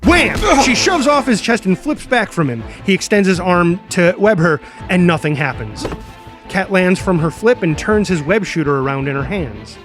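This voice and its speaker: A man's voice: high-pitched, lively intonation, normal speed, a bit bright, faint music behind. A man reading scripted action narration as the narrator.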